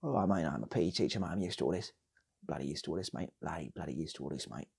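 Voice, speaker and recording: Jaded male PE teacher acted by a storyteller, low gravelly mutter, matey, unfazed, repetitive grumbling, comic tale. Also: lively intonation, very fast, darkish tone, full character voice.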